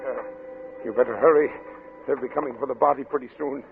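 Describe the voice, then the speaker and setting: Anxious, creaky-voiced doctor played by a man. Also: mid-range voice, fairly even intonation, quick pace, close to an everyday voice. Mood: bitter, resigned. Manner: hesitant, stammering, protesting. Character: anxious, reluctant male doctor, conscience-stricken and hesitant. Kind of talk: 1940s radio acting. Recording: narrow, noisy old radio recording.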